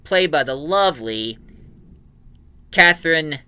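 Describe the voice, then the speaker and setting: Bright, forceful man reviewing audio drama. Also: a little high, lively intonation, slow, clean tone, dry recording. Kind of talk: informal review monologue, recapping a plot.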